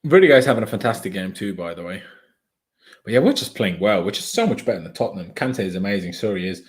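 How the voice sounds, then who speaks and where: A man's voice: lowish voice, animated, quick pace. Chatty Austrian man streaming a match. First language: German. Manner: chatty, opinionated, reads viewer comments aloud. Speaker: fan watchalong streamer. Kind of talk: casual live match commentary and chat reading.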